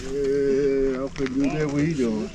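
A man's voice: mid-range voice, steady tone, measured pace, a crowd in the background, rough recording. A man with a Southern US accent, talking casually while fishing from a small boat. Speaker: angler. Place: outdoors on a small boat on a lake. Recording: clear outdoor noise on boat.

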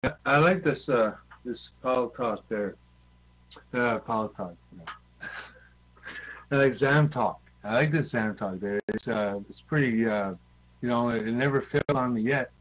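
A man's voice: medium pitch, unhurried, fairly bright tone, poor audio. A man, easygoing and repetitive, chatting casually in an online voice chat. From Ontario, Canada. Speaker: CB radio hobbyist.

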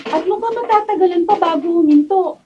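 A woman's voice: very high-pitched, a little fast, warm tone, dull audio. A Filipino woman acting a sweet, dutiful young daughter in a radio drama. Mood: worried. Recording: distant studio camera mic, faint noise.

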